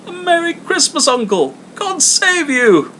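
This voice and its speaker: A man voicing a cheerful, eager young gentleman in a storybook reading, exclaiming gaily, with noise behind him. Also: big pitch swings, measured pace, full character voice. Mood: cheerful, hearty. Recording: clear background noise, low rumble.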